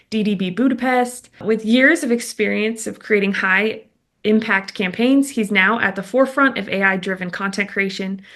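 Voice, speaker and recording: A woman's voice: fairly high pitch, a bit bright. Upbeat woman host introducing a guest. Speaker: interview host. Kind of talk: scripted introduction and interview questions.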